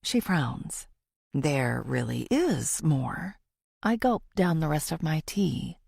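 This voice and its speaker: A woman's voice: deep voice, sing-song, slow, gravelly, darkish tone, breathy edge, dry recording, studio quality. A woman reading scripted first-person thriller narration as an audiobook narrator.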